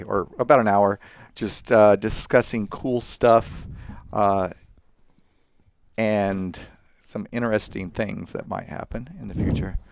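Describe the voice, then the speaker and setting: A man hosting a casual podcast chat, relaxed and friendly, introducing his guests. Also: on the low side. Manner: relaxed, friendly, says y'all. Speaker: podcast host. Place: dark studio set with leather chairs.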